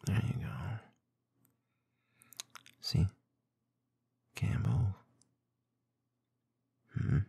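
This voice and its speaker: Very deep, gravelly, breathy man murmuring slowly. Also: dark tone, no room sound. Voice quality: breathy, husky, often whispered. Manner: slow, murmuring, teasing, dominant. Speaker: ASMR audio performer. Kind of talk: binaural ASMR roleplay, intimate. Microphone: close binaural microphone.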